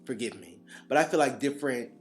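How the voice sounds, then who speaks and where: A man co-hosting a casual chat podcast, animated and quick to laugh, with faint guitar music underneath. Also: mid-range voice. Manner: animated, laughs often, drawn-out emphasis. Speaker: podcast co-host.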